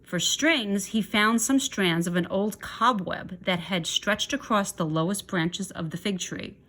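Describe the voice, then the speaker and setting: A woman's voice: mid-range voice, a little slow, a bit bright. A woman reading a children's story aloud expressively, with chatty asides to young listeners. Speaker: storybook reader.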